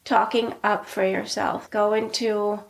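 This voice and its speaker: A middle-aged woman, a pediatrician co-hosting a podcast, talking casually in a soft voice with many "like" fillers. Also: mid-range voice, a little slow, gravelly, rough recording. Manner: soft-spoken, many "like" fillers, steady even delivery. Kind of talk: casual conversational chat.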